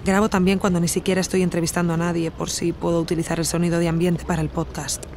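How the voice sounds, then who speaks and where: An actress with a Spanish accent from Spain playing an earnest, reflective woman investigator who narrates her audio documentary in a measured, intimate way. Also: on the low side, level tone, a little mellow, a little hiss or hum, natural voice. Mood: reflective, absorbed. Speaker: podcast narrator and protagonist. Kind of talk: intimate scripted narration and natural dialogue in an audio drama.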